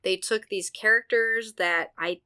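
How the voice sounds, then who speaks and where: A woman co-hosting a podcast, chatting casually with friends over a call, animated and quick with repeated words. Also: mid-range voice, smooth. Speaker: podcast co-host. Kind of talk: casual chat among friends.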